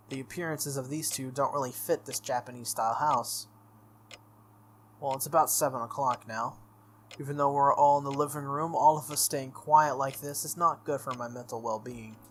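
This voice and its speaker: A man hosting a game playthrough, reading a visual novel aloud in an animated way with casual asides. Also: mid-range voice, slight background noise. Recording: music playing behind the voice. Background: game music.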